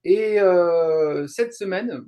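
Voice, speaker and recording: A man speaking as a guest in a casual interview, explaining things haltingly and rephrasing as he goes. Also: high-pitched, animated, warm tone. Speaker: interview guest, YouTuber and translator.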